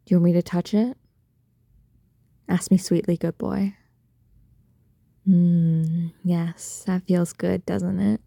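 A woman speaking in an intimate adult roleplay style straight to the listener, soft, breathy and coaxing, full of doting pet names. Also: lowish voice, little pitch movement, slow, long pauses, no room sound, studio quality. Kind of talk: intimate erotic roleplay audio, addressing the listener.

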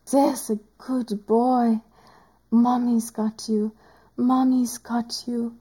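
A woman voicing a teasing, doting woman doctor in an adult audio roleplay, speaking in a slow, whispery, drawn-out way. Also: fairly high pitch, level tone, dark tone, slightly rough, heavily acted. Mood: sultry teasing.

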